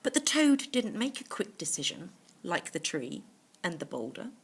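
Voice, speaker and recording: Woman reading kids bedtime story, breathy voice, very animated, explains stuff simply as she goes. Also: fairly low pitch, moderate pace. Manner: explains words simply to young listeners.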